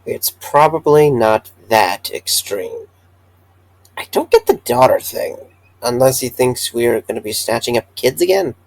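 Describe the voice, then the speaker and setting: A man's voice: medium pitch, sing-song, a little slow, rough recording. An actor playing a tired, earnest young man who wants to reopen a pizzeria, talking with hesitant fillers. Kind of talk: fan audio drama acting.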